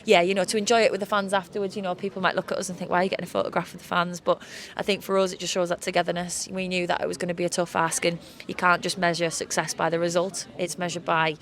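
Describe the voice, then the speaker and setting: A woman football coach giving a measured, upbeat post-match interview pitchside at an outdoor stadium, with frequent "you know" fillers. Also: little pitch movement.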